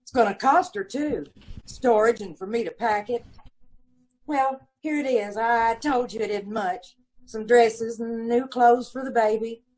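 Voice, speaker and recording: A woman's voice: on the high side, unhurried, darkish tone, rough recording. A woman playing a gossipy, aggrieved middle-aged landlady and mother of five, talking folksy and ungrammatical in old-time radio style. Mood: grudging. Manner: folksy ungrammatical talk, indignant and self-pitying. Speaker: witness in radio police drama.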